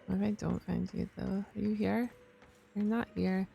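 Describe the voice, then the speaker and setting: A woman's voice: mid-range voice, flat delivery, moderate pace, gravelly, highs cut off, music in the background. A woman streaming a game, giving casual, joking live reactions and informal asides to viewers.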